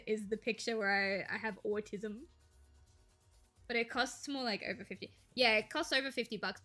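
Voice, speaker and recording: Bright-voiced woman streamer. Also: medium pitch. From Australia. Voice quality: slight gravel in the voice when talking quietly. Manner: chatty, rambling, laughs easily, thinks aloud. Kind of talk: casual live-stream chat to viewers.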